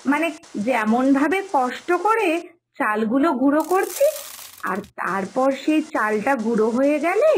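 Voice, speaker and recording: High-pitched coaxing village mother acted by a woman. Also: a little hiss or hum, poor audio. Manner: coaxing, explanatory, repeats phrases for emphasis. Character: doting, hard-working village mother coaxing her young daughter. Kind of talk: dramatised cartoon moral story dialogue.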